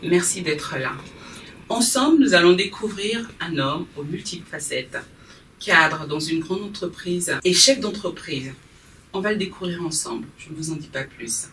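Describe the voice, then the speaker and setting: A woman's voice: fairly low pitch, expressive, normal speed, a bit bright. A woman hosting a TV talk show, opening with a formal welcome and steering the guest with questions. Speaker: TV show host. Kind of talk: TV studio interview. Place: TV studio. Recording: roomy studio, distant mic, faint noise.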